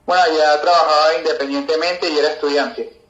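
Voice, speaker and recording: A man from eastern Venezuela with that region's accent, answering interview questions haltingly and repeating words. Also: fairly high pitch, fairly even intonation, a bit bright. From Puerto La Cruz, eastern Venezuela. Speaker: interview guest, migrant aid representative. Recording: echoey, muffled call audio.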